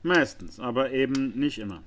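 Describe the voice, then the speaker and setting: A man's voice: medium pitch, expressive, normal speed, fairly bright tone, a little hiss or hum. A man hosting a let's play, giving informal gameplay commentary and thinking aloud.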